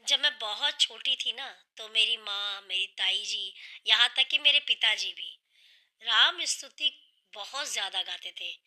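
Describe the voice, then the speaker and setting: A woman with a sore, hoarse throat, earnestly explaining a devotional hymn line by line and patiently restating each meaning. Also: a little high, bright, forceful tone, rough recording. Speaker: devotional singer and channel host.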